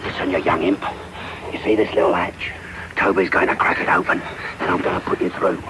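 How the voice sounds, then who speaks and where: An actor playing a brutal, gruff burglar who threatens and commands, in a radio drama. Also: male voice, low-pitched, level tone, a little fast, natural voice. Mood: menacing. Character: brutal, menacing adult male London burglar. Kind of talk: 1990s radio drama acting. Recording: very noisy medium-wave radio recording.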